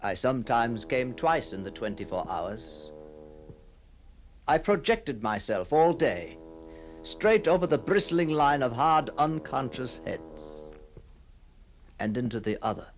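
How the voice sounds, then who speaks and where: A man's voice: mid-range voice, very animated, takes it slowly, long pauses, soft background music. An actor playing a restless middle-aged man obsessed with his other self, speaking in intense, literary phrases in a ghost-story drama. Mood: haunted, absorbed. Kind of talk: radio ghost-story acting and first-person narration.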